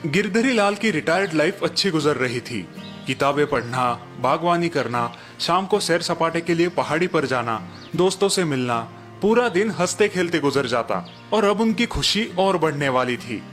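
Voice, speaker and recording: Brief: male storyteller, slightly high voice, even scripted delivery, faint music bed. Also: normal speed. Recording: music bed behind narration.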